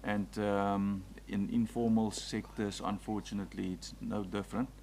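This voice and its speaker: A man's voice: fairly low pitch, normal speed, vocal fry, a little hiss or hum. A man, the executive mayor, reading out budget figures at a council meeting with fillers. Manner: reads out figures in a steady list with fillers. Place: council chamber.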